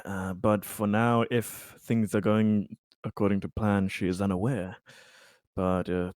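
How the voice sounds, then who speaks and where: A man casually discussing plans as a player in a tabletop game, with hesitant, deliberate phrasing. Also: fairly low pitch, darkish tone, no room sound, clean studio sound.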